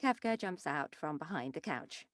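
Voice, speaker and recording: A woman's voice: sits low, animated, moderate pace, a bit bright, no echo. A woman reading an animation script's stage directions as narrator at a table read, close on the mic.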